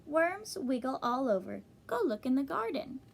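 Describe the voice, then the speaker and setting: A woman voicing a blunt but helpful bunny rabbit in a children's storybook, correcting in a drawn-out, matter-of-fact way. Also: high voice, big pitch swings, gravelly, a little hiss or hum, pitched above the performer's own voice, heavily acted.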